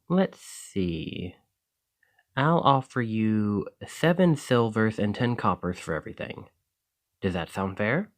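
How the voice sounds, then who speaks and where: Chatty village alchemist played by a man for fantasy ASMR roleplay: lowish voice, very lively intonation, eager and a touch flustered. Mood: pleased, businesslike. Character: friendly, excitable male village alchemist in a fantasy shop. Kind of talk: ASMR roleplay addressed to the listener.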